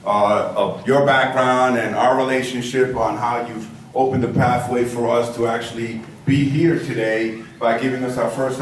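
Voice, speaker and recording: A man hosts a live event with a chatty, somewhat halting delivery and repeated phrases. He is heard from far off in a very echoey space with clear background noise. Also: medium pitch. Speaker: event host, moderator. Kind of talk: informal live introduction and moderating.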